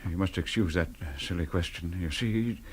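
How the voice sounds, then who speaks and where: A man's voice: low voice, moderate pace, slight background noise, close to an everyday voice. An actor playing a faded, anxious older father in a radio drama, hesitant and apologetic. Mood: meek, resigned.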